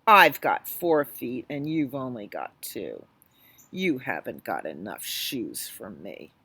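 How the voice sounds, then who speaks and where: A woman voicing a vain, boastful male tiger in a read-aloud story, speaking low and slowly. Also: very animated, a bit bright, husky, full character voice. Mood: bargaining.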